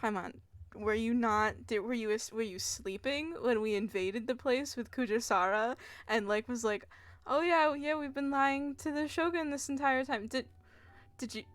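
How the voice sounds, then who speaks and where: A woman's voice: a little high, moderate pace, no room sound. A woman streaming a game, giggling and rambling as she talks back to the characters. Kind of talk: live gameplay commentary. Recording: game music behind.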